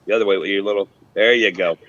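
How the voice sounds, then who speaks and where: A man's voice: on the low side, fairly even intonation, quick pace, a bit bright, highs cut off. A man chatting casually as a panelist on a sports show. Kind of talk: casual chat.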